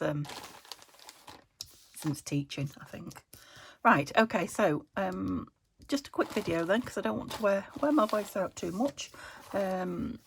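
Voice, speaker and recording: A middle-aged woman with a croaky voice from a cold, chatting casually while she crafts and asking little tag questions. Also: fairly low pitch, slight background noise. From England. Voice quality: gravelly. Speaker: craft vlogger. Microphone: phone camera.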